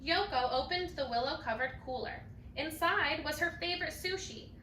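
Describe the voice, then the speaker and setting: High bright lady reading kids bedtime story, expressive, roomy echo, bit far from the mic. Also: moderate pace. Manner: animated, acts out characters' lines. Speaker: storybook reader. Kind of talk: expressive children's bedtime story read-aloud. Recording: roomy, distant mic, faint noise.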